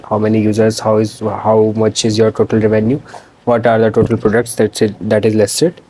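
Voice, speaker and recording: A young man talks through a screen demo in a small room, recorded on a laptop mic. His voice sits low and a little husky, with a mellow, soft tone. He talks in a loose, unscripted way, full of restarts. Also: rough recording. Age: young adult. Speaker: student presenting a project.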